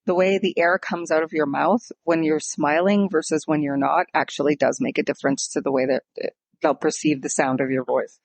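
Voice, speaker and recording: A woman's voice: sits low, steady tone, brisk, few pauses, no echo. A woman co-hosting a casual podcast, explaining and clarifying points in conversation. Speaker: podcast co-host.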